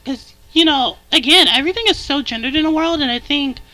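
A man with a high countertenor voice, a podcast guest talking candidly and rambling in casual chat. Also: fairly quick, sharp tone, rough recording.

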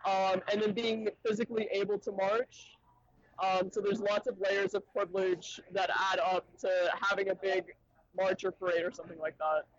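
A man's voice: sits high, flat delivery, moderate pace. A young man from Arkansas, a podcast guest speaking thoughtfully and academically, with frequent ums and uhs. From Little Rock, Arkansas, USA. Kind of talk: casual interview talk. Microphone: remote call from a conference. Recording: dull lo-fi sound, dry.

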